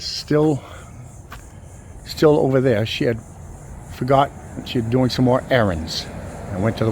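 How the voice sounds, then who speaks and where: A man telling a true crime story informally on location, in halting, dramatic short phrases. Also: medium pitch. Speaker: host of a cemetery exploring channel. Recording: clear vehicle and ambient noise.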